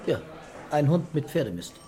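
An actor playing a calm, unhurried investigator in a radio drama, understated and wry in manner. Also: male voice, a little high, noisy background.

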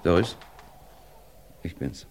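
A man's voice: slow, a little mellow, a little hiss or hum, natural voice. An actor playing a plain-spoken, fatherly villager in an old radio play. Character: homely middle-aged male innkeeper, fatherly.

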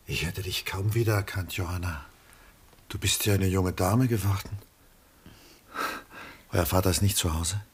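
An actor playing a courteous older gentleman, an old family acquaintance, in a German radio drama, genteel and familiar. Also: low-pitched, moderate pace, pauses often, breathy edge.

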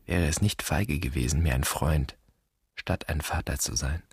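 A wounded son in a radio drama, confronting an absent father. His voice is extremely deep and very breathy-rough, recorded dry and close. The phrases are broken, terse and poetic. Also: normal speed, no room sound, full character voice. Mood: bitter, wounded. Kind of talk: stylized German radio drama dialogue.

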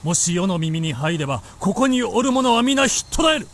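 A stern, imperious warlord in a radio drama. The lordly, commanding voice is very high and sweeps widely in pitch, with a little noise behind it. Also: male voice, very animated, fairly quick. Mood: stern, threatening. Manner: commanding, threatening, archaic lordly speech. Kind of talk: Japanese radio drama acting.

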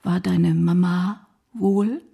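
Hushed, very husky solemn matron. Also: female voice, fairly low pitch, fairly even intonation, takes it slowly, heavily acted. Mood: grave, hushed. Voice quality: breathy, half-whispered. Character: solemn schoolmaster's wife gently breaking grave news to child. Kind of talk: radio play acting.

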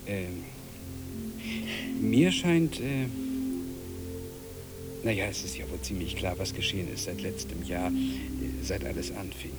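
An actor in a radio drama playing a devoted cockroach husband, anxiously optimistic, who reassures in a hesitant, earnest, self-correcting way. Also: male voice, low voice, unhurried, pauses often, over loud music. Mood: earnest, hopeful.

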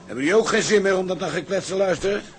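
Higher-voiced ship's officer played by a man. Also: racing, natural voice. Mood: weary, dismissive. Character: sociable, resigned merchant navy officer in a tavern. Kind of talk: period drama dialogue.

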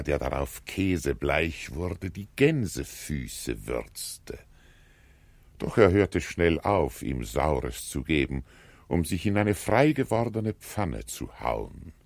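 A man giving a deliberate literary storytelling performance as a radio play narrator. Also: rumbling low, sing-song, vocal fry, dry recording.

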